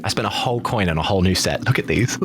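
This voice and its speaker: A man's voice: low-pitched, rapid-fire, noisy background. A man playing a tabletop game, speaking earnestly in character with big upward swoops. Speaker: player. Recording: music bed under the voices.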